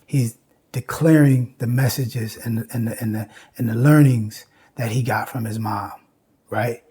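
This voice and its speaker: A man's voice: mid-range voice, warm tone, breathy edge. A man giving an informal lecture with recited lyrics, speaking emphatically and repeating phrases for emphasis. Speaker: host and lecturer on music history.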